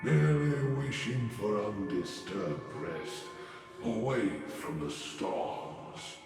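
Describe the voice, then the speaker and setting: Casting: menacing cult patriarch, very low, solemn and theatrical, heavily reverberant over music. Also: male voice, sing-song, takes it slowly, gravelly, exaggerated voice. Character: menacing male destroyer, slow, grandiose cult father. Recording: heavy cavernous echo, music underneath.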